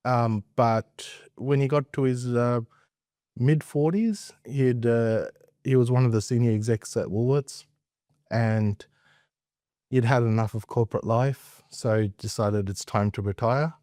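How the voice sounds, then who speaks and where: An Australian man in middle age chats as an interview guest, recounting things in a casual way. His tone is dark and relaxed at an average pitch, recorded very dry and close. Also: a little slow, dry recording. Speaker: interview guest, investment professional. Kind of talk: casual conversational storytelling.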